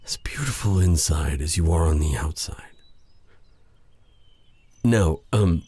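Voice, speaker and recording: A man playing a brooding, remorseful dark sorcerer in an intimate fantasy ASMR roleplay, speaking formally and haltingly to the listener in a husky, breathy voice. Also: extremely low pitch, animated, dry recording, heavily acted. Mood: tender, hesitant. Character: brooding, remorseful dark sorcerer, bitter yet tender captor.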